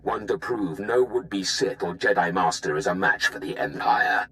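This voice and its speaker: An actor playing a haughty, menacing Imperial Sith in a video game, formal and grandiose. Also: male voice, sits low, very animated, moderate pace, vocal fry, fairly bright tone, exaggerated voice. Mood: proud. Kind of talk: dramatic video game acting.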